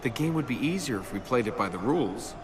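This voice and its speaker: A man's voice: medium pitch, expressive, quick pace, noisy background, natural voice. A man playing a cocky young adventurer and thief in a video game, sarcastic and teasing in conversational banter. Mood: playful. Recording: loud music behind the voice.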